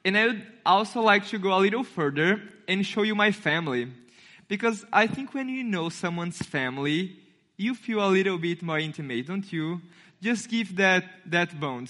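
A man's voice: high-pitched, moderate pace, pushed and bright. A man in his twenties from Brazil with a Brazilian Portuguese accent, a native Portuguese speaker, giving a friendly sermon and acting out the voices in his story. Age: 20s. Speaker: intern and youth speaker.

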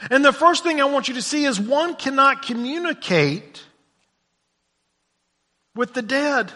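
A male preacher with a high, bright voice gives a sermon at an unhurried, deliberate pace. He drops to hushed low lines, then climbs to loud, shouted emphasis, and sometimes repeats words as he pushes on. His voice carries a long reverb, as if it fills a church hall. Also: lively intonation. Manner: repeats words when stumbling.

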